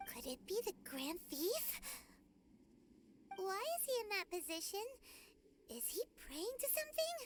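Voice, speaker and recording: A woman voicing a tiny, childlike fairy companion in a video game, squeaky, excitable and easily frightened. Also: unhurried, pauses often, noisy background, heavily acted. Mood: puzzled, curious. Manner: refers to herself in third person, hesitant, exclamatory. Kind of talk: video game character acting. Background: game music.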